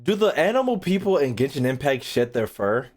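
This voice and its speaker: A woman's voice: a bass voice, very animated, fairly quick, a little mellow. A young adult woman reacting casually on a livestream, slangy and profane, often repeating phrases. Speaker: streamer reacting to game voice lines.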